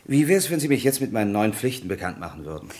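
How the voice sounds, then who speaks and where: An actor playing a good-humoured young lawyer just made partner, talking in a breezy, self-deprecating way in an old radio play. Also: male voice, on the low side, very animated, quick pace, a little mellow. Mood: jovial. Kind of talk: 1950s-80s radio play acting.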